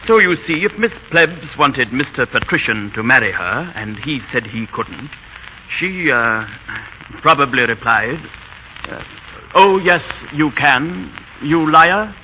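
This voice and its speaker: An actor playing a shy, formal English schoolmaster husband with hesitant speech and dry humour, in 1930s radio drama style. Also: male voice, a little high, animated, slow, bright, forceful tone, heavily acted. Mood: dry lecturing. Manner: hesitant, formal, dryly humorous. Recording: dull, noisy 1930s radio recording.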